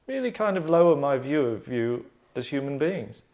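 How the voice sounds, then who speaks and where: A man in his thirties hosting a casual group discussion, talking in a hesitant, rambling, deadpan way. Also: medium pitch, moderate pace, highs cut off. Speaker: host and narrator of a table-read show. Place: small furnished lounge, indoors.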